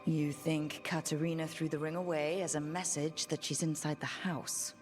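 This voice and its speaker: An actress playing a composed, decisive video game heroine with a dry, questioning manner. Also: female voice, sits low, fairly even intonation, moderate pace, close to an everyday voice. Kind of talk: video game character dialogue. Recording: music behind.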